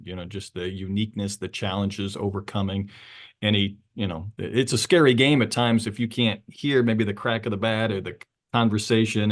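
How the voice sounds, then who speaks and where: A man who works as a sports broadcaster asks long, rambling questions at a press conference. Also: fairly low pitch.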